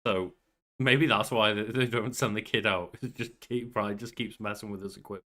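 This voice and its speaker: Bright-voiced man bantering on a podcast. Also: fairly low pitch, steady tone, brisk. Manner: sweary, joking. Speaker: podcast co-host. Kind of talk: casual chat, commentary.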